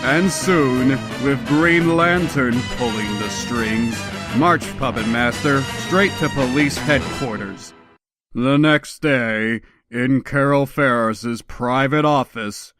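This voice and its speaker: A man gives a dramatic, scripted comic book narration. His delivery is theatrical and emphatic at an unhurried pace, with music clearly heard underneath. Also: medium pitch. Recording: music behind the voice.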